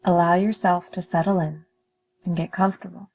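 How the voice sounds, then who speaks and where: A woman leading a guided meditation in a calm, soothing, measured manner with gentle pauses. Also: on the low side, husky, low-quality recording.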